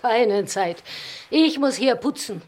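Blunt, high-voiced cleaning lady. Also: animated, takes it slowly, a little mellow, a little hiss or hum. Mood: brusque. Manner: blunt, scolding, no-nonsense. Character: blunt, grumbling immigrant cleaning woman. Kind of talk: radio drama dialogue.

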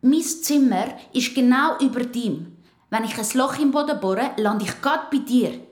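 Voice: medium pitch, lively intonation, a little slow, roomy sound, lightly acted. A performer playing an eager, cheeky young boy with a Swiss accent in a children's radio play. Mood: curious, chatty.

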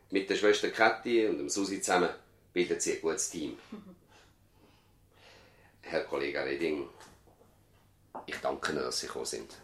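An actor playing a courteous older country doctor in a radio play, speaking formally with a Swiss German accent. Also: male voice, rumbling low, breathy edge, heavily acted. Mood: courteous, businesslike. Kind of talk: 1970s radio drama dialogue.

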